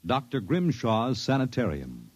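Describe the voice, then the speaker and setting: A man's voice: mid-range voice, huge pitch swings, measured pace. An American man announcing a 1950s radio series with solemn, emphatic, dramatic scripted delivery. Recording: old 1950s radio broadcast, loud music.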